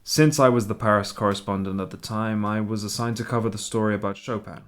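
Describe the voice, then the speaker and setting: Guy acting polite old-time newspaper correspondent in a radio drama, fairly low voice, measured and courteous. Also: fairly even intonation, fairly bright tone, smooth, close to an everyday voice. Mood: matter-of-fact. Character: polite American newspaper correspondent in 1849 Paris. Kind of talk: radio play narration.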